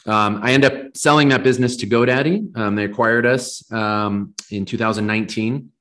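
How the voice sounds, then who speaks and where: A man's voice: mid-range voice, steady tone, normal speed, fairly bright tone. An American man in his forties from Southern California, answering conversationally as a company founder being interviewed.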